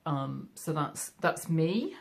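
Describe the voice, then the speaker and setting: A woman's voice: low voice, big pitch swings, takes it slowly, gravelly. A middle-aged woman with a Yorkshire accent, chatting casually to camera about her sewing from her sewing room at home, friendly and full of fillers. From Yorkshire, England. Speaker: sewing vlogger.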